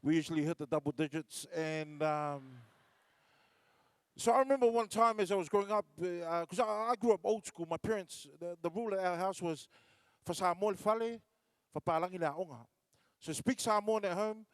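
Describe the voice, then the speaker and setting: A man's voice: high voice, fairly quick, smooth, no room sound. A man from New Zealand performing live standup comedy as MC, telling stories with lots of fillers and restarts. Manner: storytelling with acted-out voices, many fillers and restarts. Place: large concert hall stage.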